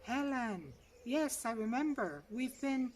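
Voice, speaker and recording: A woman's voice: a little high, expressive, takes it slowly, pitched above the performer's own voice. A woman voicing a young, clever office receptionist with polite, professional phone manners in a short story. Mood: polite concern. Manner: polite phone-greeting formality. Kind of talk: short story read aloud. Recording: distant mic, clear birdsong and outdoor noise.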